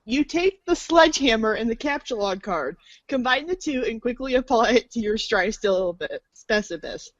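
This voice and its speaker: A woman's voice: a little high, animated, moderate pace, hardly any pauses, no room sound. A woman narrating a comic read-along storybook in a playful, sardonic manner. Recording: muffled, dull lo-fi audio.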